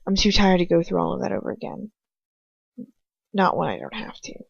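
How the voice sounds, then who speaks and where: A woman narrating fan fiction in the first person, quietly and introspectively, with occasional stumbles. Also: lowish voice, very animated, brisk, creaky, husky, dry recording, muffled recording. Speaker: reader.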